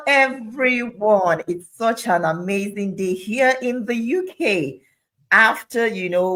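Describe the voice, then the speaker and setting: A woman's voice: mid-range voice, fairly bright tone. A woman from Nigeria, a chartered accountant, upbeat as she introduces herself on a live panel. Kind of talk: live online panel chat.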